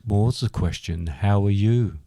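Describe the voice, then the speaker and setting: Man as eerie pantomime ghost of Christmas future, lowered pitch, mellow tone, close dry sound. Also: measured pace, dry recording. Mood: eerie, solemn. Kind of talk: comic amateur pantomime acting.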